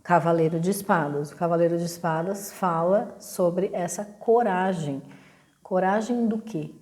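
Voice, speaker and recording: A Brazilian woman reading a weekly horoscope and tarot in a relaxed, hesitant way, clearing her throat as her voice catches. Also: medium pitch. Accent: Brazilian Portuguese. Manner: relaxed, hesitant, lots of fillers and restarts. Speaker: astrology and tarot reader. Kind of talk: casual weekly horoscope talk.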